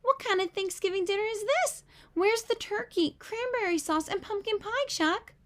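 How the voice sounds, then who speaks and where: Bossy storybook girl, played by a woman, high-pitched, blunt, demanding and indignant. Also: normal speed, a bit bright.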